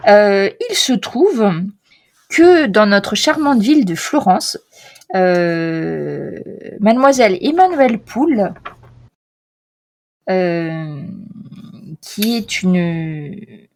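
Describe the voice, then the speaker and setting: A woman's voice: mid-range voice, very animated, very slow, pauses often, darkish tone, exaggerated voice. A woman playing a polite, hesitant Florentine clock expert. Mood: courteous. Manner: formal, courteous, hesitant with many fillers.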